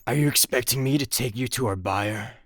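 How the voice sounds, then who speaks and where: A smug action hero gone bad, acted by a man, in a sci-fi radio comedy. He is breezy, deadpan and pleased with himself. The sound is close and dry. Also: mid-range voice, a little fast, dry recording, lightly acted. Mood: smug, casual. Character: smug fake action hero turned criminal mastermind. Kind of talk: comic sci-fi radio play acting.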